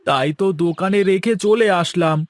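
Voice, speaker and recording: A man voicing a village shopkeeper and husband in a cartoon moral story, with a plaintive, drawn-out delivery. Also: high voice, animated. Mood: gloomy. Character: despondent village shopkeeper brothers, husbands who lost everything.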